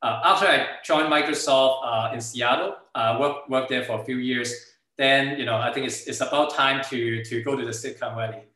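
A man's voice: mid-range voice, normal speed, few pauses, pushed and bright, very echoey, poor audio. A middle-aged man from Hong Kong, a native Cantonese speaker with a Hong Kong accent, giving an informal startup presentation over a video call. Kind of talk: informal slide presentation with product demo. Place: indoor room, on a video call.

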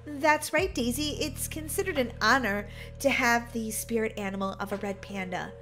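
A woman voicing an earnest, gently dramatic mother in a children's roleplay story. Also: fairly high pitch, normal speed, faint music behind, close to an everyday voice. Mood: solemn.

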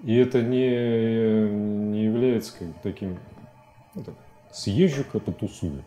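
A man in his forties with a low, very dark and soft-edged voice. He speaks as a guest in a studio interview, thinking aloud in loose, colloquial phrases that often stop and restart. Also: measured pace, soft background music. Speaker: interview guest, animation filmmaker. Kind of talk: casual studio interview talk. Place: TV studio, indoors.

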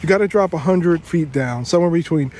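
A young man telling a travel story to his phone camera outdoors, animated and playing it up for effect, with plenty of fillers. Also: a little high, a little fast, slight background noise. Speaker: travel vlogger. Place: outdoors among trees.

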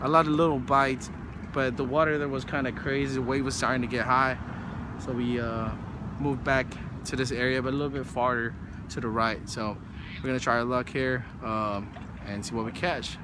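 A man's voice: medium pitch, little pitch movement, normal speed. A man in his thirties hosting a casual fishing vlog outdoors on a rocky lagoon shore, talking into a phone camera held at arm's length and filling gaps with "um" and "uh". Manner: chatty, many fillers and restarts. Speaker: fishing vlogger. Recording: outdoor wind and water noise.

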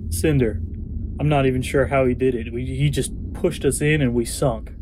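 A man's voice: mid-range voice, fairly even intonation, moderate pace, a little mellow, slight background noise, lightly acted, low-quality recording. A man playing an earnest everyman hero. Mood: relieved. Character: relieved, urgent, wry everyman hero. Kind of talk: audio horror drama acting.

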